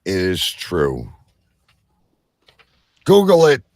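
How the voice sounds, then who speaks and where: A man hosting a bantering comedy quiz, halting as he sets up questions, then reading them out emphatically. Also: low voice, huge pitch swings, a little mellow, no room sound, studio quality. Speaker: quiz host.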